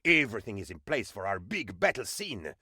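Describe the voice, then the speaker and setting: A man voicing an eager, fawning presidential aide in a comedic video game, obsequious, excitable and melodramatic. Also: fairly low pitch, fairly quick, breathy edge, dry recording, studio quality, exaggerated voice. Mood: deferential. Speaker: game advisor character. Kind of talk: theatrical comedic game voice acting.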